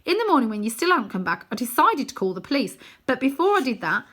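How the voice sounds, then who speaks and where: Woman acting a stubborn young girl, bossy, sceptical, bright and forceful, storybook character. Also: high voice, sing-song, fast talker, voice pushed up, full character voice. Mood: indignant, defensive. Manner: firm, sceptical, exasperated. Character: stubborn, bossy, scornful girl, a twin sister.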